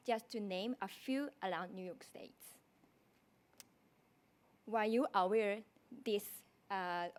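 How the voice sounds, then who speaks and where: A young woman with a strong Japanese accent giving a prepared thesis presentation, speaking carefully with frequent restarts. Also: mid-range voice. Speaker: design graduate student presenting a thesis.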